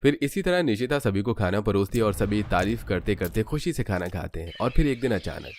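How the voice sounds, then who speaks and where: A man narrating a scripted cartoon family story in a measured storytelling manner. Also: on the low side, lively intonation.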